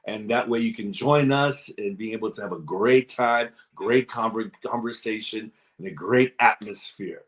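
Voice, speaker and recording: A man's voice: lowish voice, normal speed, hardly any pauses. A man moderating an interview series, upbeat and effusive as he welcomes viewers and introduces his guest. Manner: upbeat, effusive, repeats "excited". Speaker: host, moderator.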